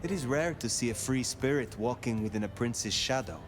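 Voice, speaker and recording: An actor playing a composed elder vampire sheriff in a video game, formal, measured and probing. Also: male voice, medium pitch, expressive, music in the background, lightly acted. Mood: stern. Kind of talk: video game dialogue acting.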